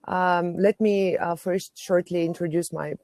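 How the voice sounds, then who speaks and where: A woman's voice: lowish voice, steady tone, unhurried, a little mellow, dry recording, studio quality. A woman from Bulgaria speaking on an online conference panel, introducing her finance career with many hesitant fillers.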